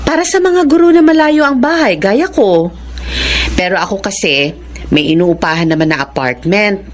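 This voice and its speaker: A woman's voice: mid-range voice, moderate pace, lightly acted. A woman playing a kind, motherly, encouraging teacher in a Filipino radio drama. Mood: persuasive, friendly. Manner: animated, encouraging.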